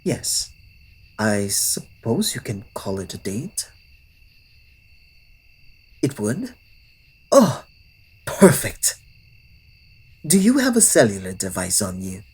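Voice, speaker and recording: A man playing a courtly, smitten old-world vampire in an intimate ASMR roleplay, with formal phrasing that often slips into breathy whispers. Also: on the low side, huge pitch swings, slow, long pauses, full character voice. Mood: eager, flustered. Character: courtly, old-fashioned adult male vampire, yearning, polite, smitten. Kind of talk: ASMR roleplay audio drama addressed to the listener.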